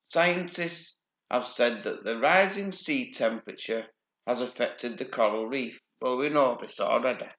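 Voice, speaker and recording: Man, slow and sardonic, reading news with dry commentary; echoey, lo-fi. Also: medium pitch, a bit bright. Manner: dry, sardonic, swears casually. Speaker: channel host.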